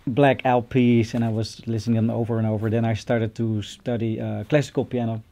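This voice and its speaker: A man's voice: mid-range voice, level tone, brisk, creaky, mellow. A man in his forties with a Dutch accent, a guest musician answering casually in an interview. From Netherlands, born in Colombia. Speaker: guest pianist. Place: indoor music studio with stage setup.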